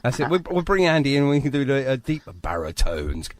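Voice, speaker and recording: Man, radio presenter, highly varied intonation, effusive, chatty interview style. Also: big pitch swings, a little fast, a crowd in the background. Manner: enthusiastic, effusive. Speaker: radio show host. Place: small radio studio. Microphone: studio microphone.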